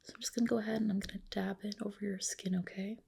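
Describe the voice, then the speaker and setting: A woman whispering softly in an ASMR roleplay as a clinic doctor, gentle, polite and reassuring, with a breathy delivery. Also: mid-range voice, little pitch movement, racing. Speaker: ASMR host roleplaying a dermatologist. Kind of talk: soft whispered ASMR personal-attention roleplay.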